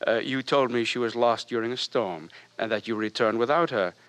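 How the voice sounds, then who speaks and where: An actor playing an accused nonconformist in a 1950s radio drama, polite but pointed and defiant. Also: male voice, medium pitch, normal speed, fairly bright tone, clear, resonant tone, no room sound, natural voice.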